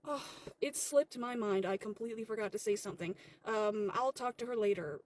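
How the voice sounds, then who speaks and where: A woman's voice: medium pitch, fairly quick, darkish tone, a little hiss or hum, close to an everyday voice. A woman playing a forgetful, offhand female breakfast manager in a comedy skit.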